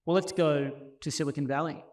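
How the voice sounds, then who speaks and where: Male event interviewer, fairly high-pitched voice, conversational on-stage questioning. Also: steady tone, brisk, a little mellow.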